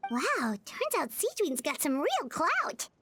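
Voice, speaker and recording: A woman voicing a tiny, chatty, childlike fairy companion in a video game, squeaky, naive and blunt. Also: slow pace, exaggerated voice. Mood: curious, surprised. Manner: refers to self in third person, expressive. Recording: music behind the voice.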